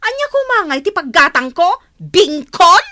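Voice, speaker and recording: Lady doing a fed-up scolding village mom for radio comedy, high and really animated. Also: moderate pace, exaggerated voice. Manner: scolding, complaining. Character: nagging, exasperated village wife and mother. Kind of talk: Ilocano comedy radio drama acting.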